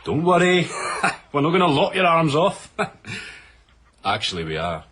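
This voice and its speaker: Crass TV producer, excitable, bullish, highish animated voice, radio drama, noisy background. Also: male voice, a little slow. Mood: boastful, jokey. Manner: bullish, jokey, emphatic. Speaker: TV show producer. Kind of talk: radio comedy-drama acting.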